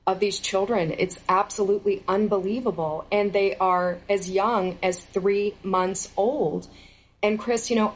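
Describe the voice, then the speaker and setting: A woman's voice: medium pitch, poor audio. Emphatic, indignant woman guest. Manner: emphatic, indignant, stresses key words. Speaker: interview guest, former federal employee. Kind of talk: earnest interview answers.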